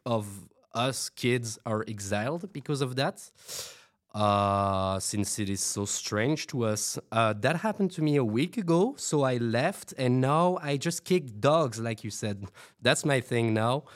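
Man playing an awkward, very slow-talking exiled youngster. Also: mid-range voice, close to an everyday voice. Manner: halting, awkward, offhand. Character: exiled youngster from an influencer community, awkward and flippant. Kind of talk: fiction podcast guest answering questions.

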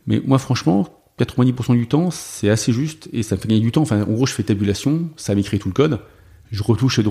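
Guest dev, male, low mellow voice with fry, hesitant delivery full of fillers. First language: French. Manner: hesitant, many fillers and restarts. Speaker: guest, software developer. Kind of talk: casual conversational interview.

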